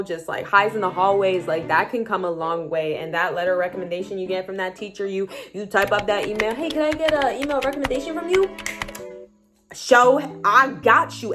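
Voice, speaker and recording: A teenage girl giving casual high school advice in a chatty vlog, saying "like" a lot. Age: teens. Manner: chatty, heavy use of "like", animated asides. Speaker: high school student vlogger.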